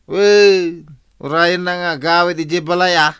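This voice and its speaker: A man's voice: high-pitched, fairly even intonation, measured pace, fairly bright tone, clean tone, no echo, studio quality. A man acting a hapless, hard-drinking husband in a comic radio drama, with an Ilocano accent, exclaiming and stammering in whiny protest. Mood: rowdy, tipsy.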